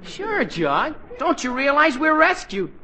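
A man's voice: very high voice, wildly swooping pitch, a little slow, pushed and bright, exaggerated voice. A young man playing an eager, hapless American teenage boy in 1940s radio comedy, earnest and exclaiming. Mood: excited.

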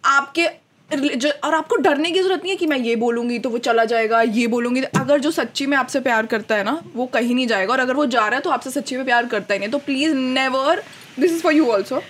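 Brief: woman, late twenties, podcast co-host, bright high voice, earnest big-sister advice. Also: a little fast. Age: 20s. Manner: earnest, advice-giving, mixes Hindi and English. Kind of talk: casual chat.

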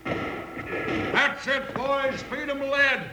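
An actor playing a mean outlaw gang leader in an old-time radio western, barking threats and orders. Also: male voice, very high-pitched, flat delivery, extremely slow, very noisy, exaggerated voice. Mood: gloating, threatening. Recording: roomy, crowded old radio recording.

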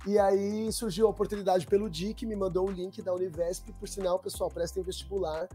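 A young man telling stories in easy street slang. His voice sits fairly high for a man but sounds dark and mellow. Soft music plays faintly behind him. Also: a little fast. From Brazil. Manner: slangy street talk, relaxed. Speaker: documentary maker, guest. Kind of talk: casual storytelling chat.

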